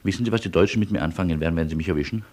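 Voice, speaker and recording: An actor in a radio play playing a weary, courteous refugee with a wry, resigned way of talking. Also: male voice, deep voice, a little mellow. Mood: anxious irony. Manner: wry, resigned, polite, deliberate. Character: weary, ironic male refugee, nervous but courteous.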